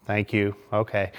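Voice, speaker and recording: A man's voice: mid-range voice, sharp tone, slightly rough. A man giving a short, informal talk at a small church, folksy and conversational, poking fun at himself as he goes. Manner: folksy, conversational, self-deprecating. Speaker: lay preacher.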